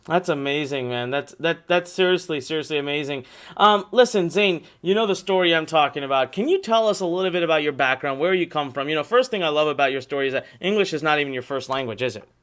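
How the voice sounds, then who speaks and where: Excitable high-voiced man hosting a webinar. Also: fast talker. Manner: excitable, gushing. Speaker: webinar host.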